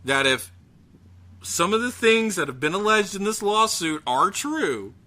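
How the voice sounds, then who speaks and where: A man chatting casually as a podcast host, ranting in an animated, profane way. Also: high voice, unhurried. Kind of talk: casual chat about gaming news.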